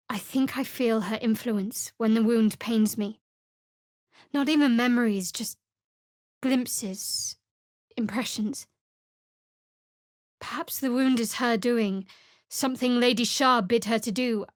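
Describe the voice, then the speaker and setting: A woman voicing a guarded, sardonic young cleric of a dark goddess in a video game, with a dry, measured, clipped delivery. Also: fairly high pitch, no echo. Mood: troubled. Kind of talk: video game voice acting, companion dialogue and battle barks.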